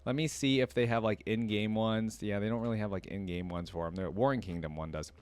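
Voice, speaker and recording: A man with a fairly low voice hosts a casual reaction video. He talks informally and thinks out loud, often hesitating over his choices. The sound is dry, with very little room in it. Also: a little fast, clear, resonant tone, dry recording. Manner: informal, thinking aloud, indecisive.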